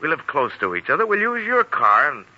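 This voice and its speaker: A man's voice: on the high side, sing-song, fairly quick, pushed and bright, lo-fi sound, full character voice. An actor playing a cocky, breezily persuasive man, someone's best pal, in a 1940s radio drama. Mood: breezy, insistent. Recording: thin 1940s radio recording.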